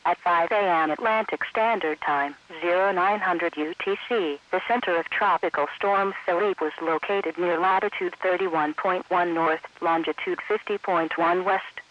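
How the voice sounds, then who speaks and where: A high-voiced synthetic man reads a scripted weather bulletin. The delivery is measured and impersonal, like an automated announcer. It comes through dull, lo-fi weather radio audio.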